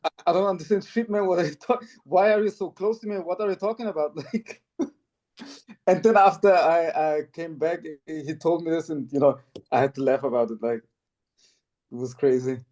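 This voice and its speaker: Mellow-voiced man in his twenties. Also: on the high side, moderate pace. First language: Turkish. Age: 20s. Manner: animated storyteller, laughs while telling. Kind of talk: casual chat.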